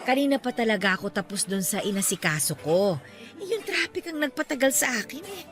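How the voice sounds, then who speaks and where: A woman's voice: a little high, very animated, brisk. A woman doing a teasing, chatty old mother. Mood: apologetic. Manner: fond, bantering, laughs a lot. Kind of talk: radio drama acting. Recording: soft music underneath.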